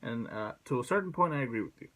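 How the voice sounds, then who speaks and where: A man speaking casually as a video host, blunt and sweary, in a halting, rambling way. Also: medium pitch, expressive, bright, forceful tone. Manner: blunt, profane. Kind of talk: casual opinion vlog.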